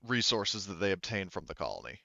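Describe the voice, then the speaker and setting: A man's voice: deep voice, big pitch swings, a little fast, vocal fry, pushed and bright, no echo. A man running a tabletop role-playing game, explaining things casually in a rambling way full of asides, on slightly dull audio. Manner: rambling, frequent 'like' asides. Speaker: game master.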